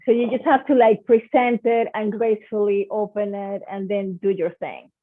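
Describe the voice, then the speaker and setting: A woman's voice: medium pitch, fairly even intonation, moderate pace. A woman from Venezuela with a Venezuelan Spanish accent, talking enthusiastically and expressively as a guest performer on a video call. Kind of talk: casual online presentation. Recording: tinny phone-like audio.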